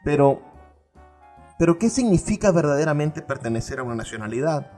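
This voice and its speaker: Earnest radio host, musing. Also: male voice, fairly high pitch, very animated, warm tone, soft background music. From Venezuela. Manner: earnest, musing, with frequent pauses and repeated phrases. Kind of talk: reflective radio monologue.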